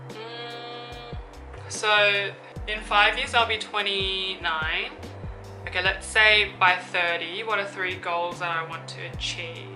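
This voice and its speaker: A woman's voice: medium pitch, steady tone, takes it slowly. A woman, chatting casually through a Q&A vlog and thinking aloud with fillers. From Australia. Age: 20s. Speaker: YouTuber. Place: room with an open window. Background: background music.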